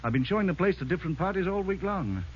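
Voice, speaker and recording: An actor playing an anxious, talkative bar owner in an old radio crime drama. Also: male voice, high-pitched, expressive, fast. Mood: anxious, explaining. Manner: agitated, chatty, anxious. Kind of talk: 1940s-50s radio drama acting. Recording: muffled old radio recording, faint noise.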